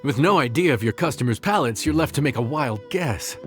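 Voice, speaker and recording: A man's voice: mid-range voice, expressive, a little fast, fairly bright tone, soft background music, close to an everyday voice. Man playing a seasoned chef mentor. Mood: encouraging. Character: seasoned middle-aged male chef, encouraging.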